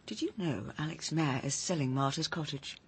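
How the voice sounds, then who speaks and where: An actress playing a kindly, concerned village woman in a radio drama, gentle and confiding. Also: deep voice, expressive, normal speed, slight background noise. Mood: earnest, confiding. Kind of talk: BBC radio drama acting.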